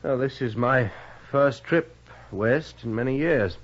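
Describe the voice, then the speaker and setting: A man's voice: mid-range voice, unhurried, a little hiss or hum, lightly acted. Guy acting snooty eastern husband, american, stiff n pompous, medium voice, old muffly radio western. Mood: stiff, condescending. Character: haughty, well-to-do Eastern husband, stiff and intolerant. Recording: muffled vintage radio recording.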